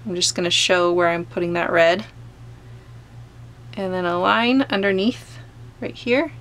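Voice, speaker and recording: Gentle woman teaching painting, gravelly edge. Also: fairly low pitch, fairly even intonation. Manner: gentle, unhurried explaining while painting. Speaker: art teacher. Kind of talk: step-by-step painting tutorial. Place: home studio, overhead camera on painting table.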